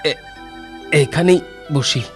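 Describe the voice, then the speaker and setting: An actor playing a homeless blind young man in a children's cartoon, plaintive, humble and halting. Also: on the high side, music in the background. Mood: weary, sorrowful.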